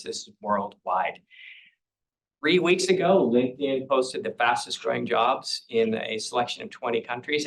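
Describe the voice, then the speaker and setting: A man speaks on a panel like a professor giving a lecture. He is earnest and emphatic, and his voice sits at an ordinary pitch for a man. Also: creaky, a bit bright, poor audio. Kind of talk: panel talk.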